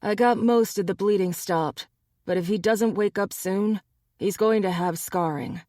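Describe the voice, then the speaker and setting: A woman's voice: mid-range voice, unhurried, mellow, no room sound, clean studio sound, lightly acted. A woman voicing a wry, weary male shifter.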